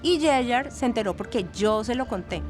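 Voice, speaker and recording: An actress playing an indignant, chatty witness giving conversational testimony in an audio drama. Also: female voice, a little high, lively intonation, moderate pace, close to an everyday voice. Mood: indignant gossip. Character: indignant, chatty female witness recalling events. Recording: faint music underneath.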